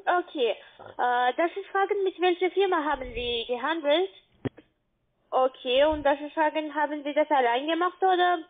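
High-voiced foreign-accented woman on the phone. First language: not German. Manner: halting, non-native phrasing. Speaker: cold-calling telemarketer. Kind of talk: sales pitch by phone. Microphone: telephone. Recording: narrow-band phone line.

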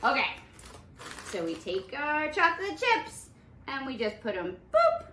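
A woman's voice: high-pitched, wildly swooping pitch, measured pace, pushed and bright. A woman, giving a playful, sing-song cooking demonstration for young children. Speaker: preschool teacher hosting a children's cooking class. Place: small indoor playroom kitchen set. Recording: echoey room with some background noise.

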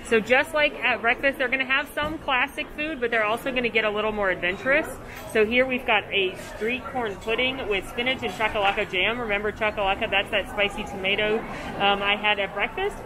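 A woman's voice: a little high, steady tone, a little fast. A young woman with an upbeat, gushing, chatty manner, giving a casual food review as a vlogger. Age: young adult. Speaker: food and theme park vlogger. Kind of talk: enthusiastic casual vlog review and food tour. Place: large buffet restaurant, indoors. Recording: busy restaurant crowd noise. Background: crowd chatter.

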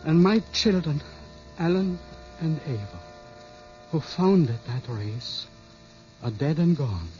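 Grieving scientist father, halting, dramatic, slightly high voice, old radio play, faint hum. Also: expressive, dark tone. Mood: grieving, solemn. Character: grave, grieving older male scientist and father. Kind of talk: 1950s radio drama acting. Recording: muffled, humming old radio recording.

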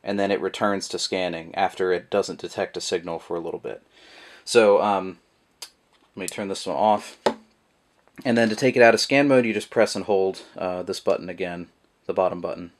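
Deep-voiced guy casually walking through a gadget demo, lots of ums and uhs, camera mic. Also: normal speed. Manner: relaxed, rambling with many fillers. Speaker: product reviewer. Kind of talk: casual how-to demonstration. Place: indoors at a table, voice behind the camera.

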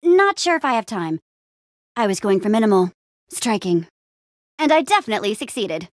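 A woman playing an upbeat young adventurer and town hero in a video game, friendly, casual, expressive and quippy. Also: mid-range voice, moderate pace, no echo, clean studio sound, natural voice. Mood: proud, admiring. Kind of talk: video game character acting.

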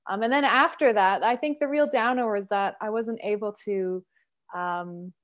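A woman from Canada in her thirties tells her story on a video call from home. She talks expressively in a middle pitch, as a musician guest on an interview show. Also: normal speed. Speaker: singer and sax player, guest. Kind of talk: casual interview chat. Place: home room, indoors.

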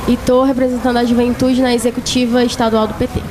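A young woman from Ceará with a Brazilian Portuguese accent, introducing herself quickly and informally into a handheld mic. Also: a little high, little pitch movement, noisy background. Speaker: panelist.

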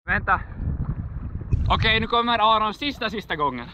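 A man's voice: high voice, lively intonation, highs cut off. A young man with a Finland Swedish accent, chatting casually for a vlog outdoors into a handheld camera. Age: young adult. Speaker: vlogger. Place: outdoors by lakes and in forest. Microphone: handheld camera microphone. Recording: windy outdoor action-camera sound, loud noise.

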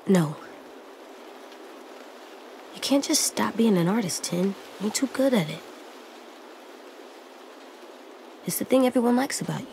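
A woman's voice: mid-range voice, animated, normal speed, vocal fry, warm tone, a little hiss or hum, rough recording. A woman playing a serious, determined little boy in a video game drama, blunt and childlike in delivery. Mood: solemn.

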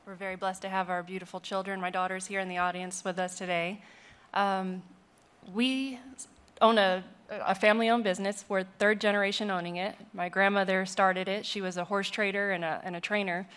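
A woman's voice: medium pitch, steady tone, a little fast, fairly bright tone, clean tone. A woman from Las Vegas, a business co-owner, talking in a friendly, chatty way at a panel table in a large hall. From Las Vegas, Nevada. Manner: friendly, chatty, laughs lightly. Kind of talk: personal remarks at a roundtable.

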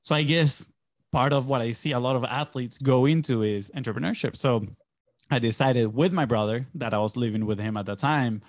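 A young man from Venezuela whose first language is Spanish chats casually on a podcast. His pitch sits in the middle for a man. He is close on the microphone, and the sound is dry, with hardly any room on it. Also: a little fast, no room sound. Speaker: podcast guest, agency entrepreneur. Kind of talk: casual conversational storytelling. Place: small home studio indoors. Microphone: close boom-arm podcast microphone with headphones.

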